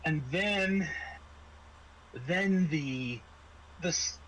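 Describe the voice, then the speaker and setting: A man working as game master, improvising horror narration in a halting, conversational way. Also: high-pitched, fairly bright tone, slight background noise, rough recording.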